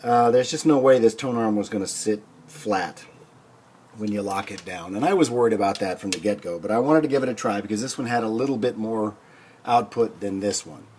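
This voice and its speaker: A man hosting a hands-on repair video, explaining things informally and thinking aloud with hesitant pauses. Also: fairly low pitch, darkish tone, a little hiss or hum. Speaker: host of a record player restoration video. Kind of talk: casual hands-on demonstration.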